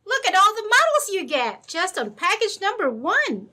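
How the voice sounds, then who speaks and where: A woman voicing an excited little girl in an old-time radio commercial, gushing and wholesome. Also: very high-pitched, moderate pace, full character voice.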